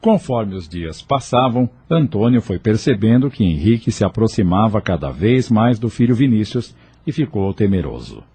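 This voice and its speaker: A man with a husky, mellow voice narrating an audio novel as a dramatic scripted storyteller. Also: on the low side, big pitch swings, normal speed, few pauses, soft background music.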